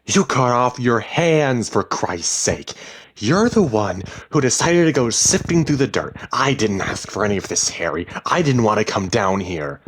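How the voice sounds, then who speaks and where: A man voicing a frightened, furious wife with a sharp, sarcastic tongue in a dramatic story reading. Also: mid-range voice, big pitch swings, measured pace, few pauses, husky, voice pushed up, full character voice. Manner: exasperated, swearing, emphatic.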